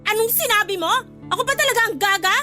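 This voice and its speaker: A woman's voice: very high-pitched, lively intonation, fast, loud music behind the voice. A woman playing a hot-tempered, resentful older sister in a Tagalog radio drama, scolding with a sarcastic, combative tongue. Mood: indignant, scolding.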